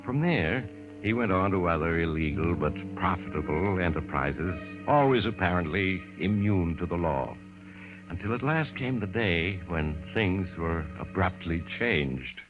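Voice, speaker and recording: An actor as the grave narrator of an old radio mystery, telling the story in measured, dramatic style. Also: male voice, sits low, lively intonation. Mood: ominous, dramatic. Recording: muffled old radio recording. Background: music.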